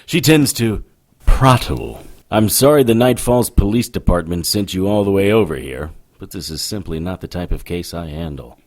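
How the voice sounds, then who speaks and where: An actor playing a sardonic, weary supernatural detective in a radio drama with noir-style narration. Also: male voice, low-pitched, animated, normal speed, a little mellow. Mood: wry, reluctant. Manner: sardonic, dry.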